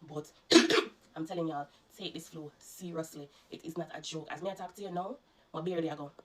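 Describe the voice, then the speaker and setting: A woman's voice: deep voice, steady tone, fairly quick, fairly bright tone. A Jamaican woman vlogger, congested and coughing, earnestly recounting her illness to her viewers and warning them. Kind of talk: personal storytelling to viewers.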